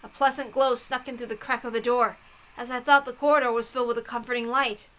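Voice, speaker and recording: A woman giving a dramatic, theatrical narrated reading of a story in an expressive manner. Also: on the high side, quick pace. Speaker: reader of a visual novel.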